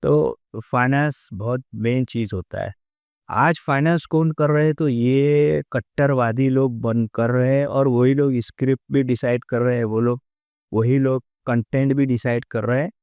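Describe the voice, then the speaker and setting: An Indian man explains his views as a guest in a conversation. His voice is medium in pitch, very clean and resonant, with a dry, close sound. He is emphatic and circles back on key phrases. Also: fairly even intonation, moderate pace, a little mellow, smooth, no room sound. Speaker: interview guest, author.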